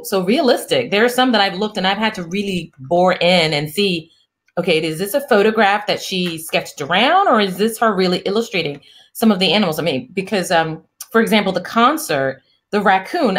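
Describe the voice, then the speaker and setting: A middle-aged woman interviewer with a lower, soft voice. She talks in a gentle, soothing way and often restarts her sentences. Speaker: interview host, psychiatrist. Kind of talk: casual interview chat.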